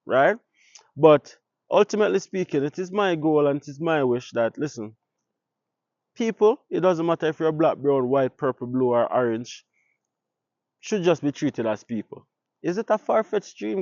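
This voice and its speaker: A Jamaican man talks to his viewers in an easy, conversational way, tossing them one rhetorical question after another. His voice sits at an ordinary middle pitch. The recording is dry and a little dull. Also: a little mellow, no room sound. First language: Jamaican Patois. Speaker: vlogger. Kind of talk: casual talk to camera.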